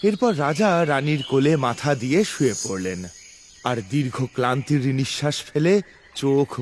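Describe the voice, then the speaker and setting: A man's voice: mid-range voice, a little hiss or hum. A man narrating a scripted fairy tale as a dramatic storyteller. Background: music.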